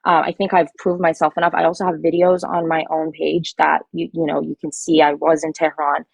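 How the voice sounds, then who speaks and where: An American woman with a low-set voice and a gravelly, creaky edge, speaking earnestly and insistently as she defends herself. Her sentences often restart as she presses her point. The sound is close and dry, with no room echo. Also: little pitch movement, brisk. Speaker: guest caller. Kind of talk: heated live-stream debate.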